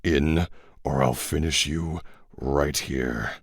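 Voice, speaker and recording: A man voicing a cruel, alien-possessed middle-aged man who snarls threats and shouts. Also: medium pitch, very animated, a little slow, vocal fry, dry recording, clean studio sound, heavily acted. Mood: cruel whisper.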